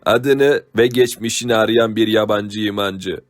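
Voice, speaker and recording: A man playing a bold young wandering warrior in an animated adventure, with a terse, defiant manner. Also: on the low side, measured pace, no room sound, clean studio sound, natural voice. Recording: clearly audible music behind the voice.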